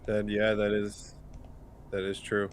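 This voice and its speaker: A man with a lowish, very even voice takes part in a tabletop game as a player. He talks casually and hesitantly, thinking aloud at a slow pace. There is faint noise in the room.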